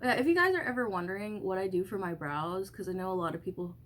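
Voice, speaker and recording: A woman's voice: medium pitch, quick pace, very noisy. Congested woman, early twenties, loose rambling vlog chatter with swearing. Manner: rambling, filler-heavy, swears casually. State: congested, stuffy nose. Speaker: vlogger. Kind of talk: casual get-ready-with-me chat. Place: at home doing makeup.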